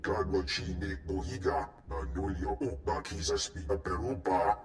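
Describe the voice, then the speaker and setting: Guy doing a greedy alien gangster for a game, really low voice, made-up alien language, echoey. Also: fairly even intonation, slight background noise, lightly acted. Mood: scheming. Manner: slow, deliberate delivery in an invented alien language. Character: scheming greedy alien crime boss speaking alien tongue. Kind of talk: video game cutscene acting.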